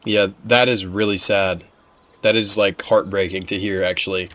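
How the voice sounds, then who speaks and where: A man's voice: on the low side, little pitch movement, normal speed, a bit bright. A man giving casual gameplay commentary as a streamer, slangy and emphatic, often repeating himself for weight. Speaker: game streamer.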